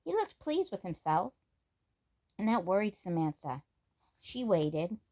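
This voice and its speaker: A woman reading a children's storybook aloud as an expressive narrator. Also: mid-range voice, a little slow. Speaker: storybook reader.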